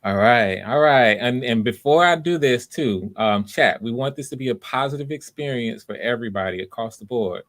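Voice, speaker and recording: A man's voice: mid-range voice, lively intonation, moderate pace, hardly any pauses, a bit bright. A man hosting live auditions over a video call, talking casually and encouragingly, often repeating and rephrasing himself. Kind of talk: casual unscripted hosting talk.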